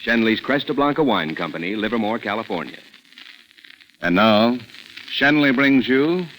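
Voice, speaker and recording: A man's voice: fairly low pitch, lively intonation, normal speed, a bit bright, slight background noise, poor audio. A man announcing a 1940s radio sponsor message in a polished, grandiose style. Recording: 1940s radio broadcast recording. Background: music.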